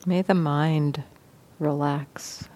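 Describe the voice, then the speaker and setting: A woman teaching meditation, guiding a sitting practice in a gentle, unhurried way with long pauses. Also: low voice, steady tone. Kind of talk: guided meditation instruction.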